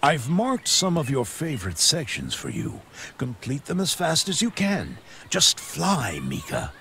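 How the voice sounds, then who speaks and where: A man's voice: medium pitch, wildly swooping pitch, measured pace, vocal fry, bright, forceful tone, a little hiss or hum, heavily acted. A man playing a kindly, encouraging riding mentor in a horse video game. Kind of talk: video game character voice acting.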